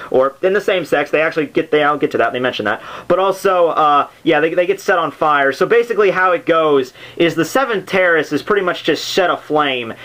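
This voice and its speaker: A man's voice: fairly high pitch, fairly bright tone. A man giving a casual, unscripted explanation as host, rambling and dropping jokey asides. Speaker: host of a literature summary series. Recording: music playing under the voice. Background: background soundtrack music.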